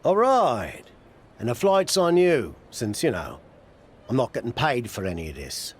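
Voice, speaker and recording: A man's voice: mid-range voice, sing-song, unhurried, breathy edge. A man playing a wry, blunt older wrestler turned manager in video game cutscene dialogue. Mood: dry, matter-of-fact.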